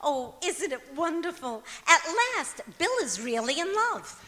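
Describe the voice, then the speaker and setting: Woman acting high, chirpy, scatterbrained housewife, hall reverb. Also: animated, unhurried, pushed and bright, heavily acted. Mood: cheerfully innocent. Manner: guileless, chirpy. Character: Gracie, sweet scatterbrained housewife, cheerfully illogical. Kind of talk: old-time radio comedy acting on stage. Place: stage of a performing arts auditorium. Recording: echoey auditorium with long reverb.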